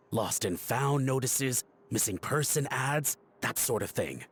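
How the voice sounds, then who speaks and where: An actor playing a nervous, guilty antique collector in a video game, melodramatic and easily flustered. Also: male voice, medium pitch, slightly rough. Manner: flustered stammering and melodramatic pleading. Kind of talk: voice-acted game dialogue.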